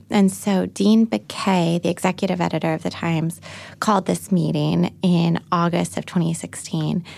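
Gravelly-voiced woman in a chatty interview. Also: darkish tone. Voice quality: higher pitched voice. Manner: fast, chatty, many fillers and repeats. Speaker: journalist guest. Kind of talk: interview conversation.

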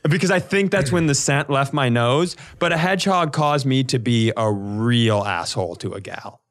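A man co-hosting a comedy podcast, telling animated, casual stories and acting out the dialogue. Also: mid-range voice, moderate pace.

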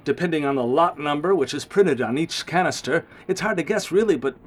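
Grave, guilt-ridden scientist, higher-pitched man. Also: expressive. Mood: ominous warning. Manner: formal, measured, ominous. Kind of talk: radio drama acting.

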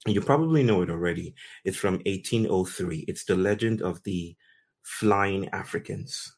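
A man from Nigeria hosting a conversation, telling a story in slow, deliberate, poetic phrases with pauses. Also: low voice. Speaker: host. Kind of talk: reflective storytelling talk.

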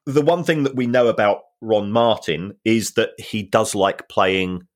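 Low-voiced man, halting dry chat. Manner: halting, many restarts, dry humour. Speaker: football finance expert co-host. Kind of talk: casual podcast discussion.

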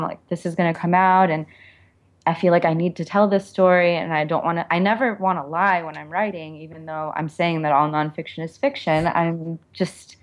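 A woman's voice: on the low side, highs cut off. A woman author being interviewed, speaking candidly and informally in a reflective way, with lots of "like" and "you know".

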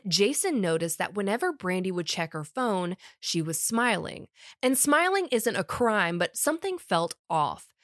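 A woman's voice: medium pitch, a bit bright, no room sound. Woman host narrating true crime, dry room. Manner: wry, conversational storytelling. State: recovering from a summer cold. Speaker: podcast host.

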